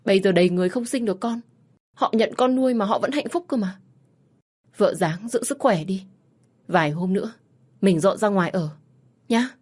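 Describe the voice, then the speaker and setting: A woman's voice: medium pitch, normal speed, long pauses, a little mellow, breathy edge, natural voice. A woman voicing a devoted young husband who comforts his wife and pleads with his mother. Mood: tender. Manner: pleading, tender.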